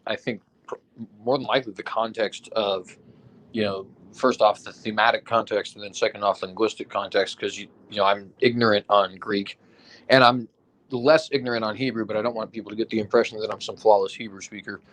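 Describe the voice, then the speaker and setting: Low-voiced man explaining, a bit foggy. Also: fairly even intonation, brisk, a bit bright, low-quality recording. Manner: explains with examples, hedging, frequent "right?" and fillers. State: recovering from illness, foggy. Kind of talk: casual discussion.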